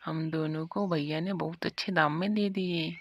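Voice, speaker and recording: A woman playing a crafty, smug village sister in an animated moral story, with a sly, insistent manner. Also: low-pitched, moderate pace, muffled recording, a little hiss or hum, close to an everyday voice.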